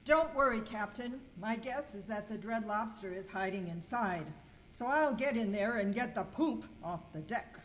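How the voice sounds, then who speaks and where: A woman's voice: mid-range voice, normal speed, fairly bright tone, lightly acted. A woman playing a confident, wisecracking cleaning superhero in an amateur comic radio skit, declaiming in mock-heroic style. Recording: clearly noisy live room recording.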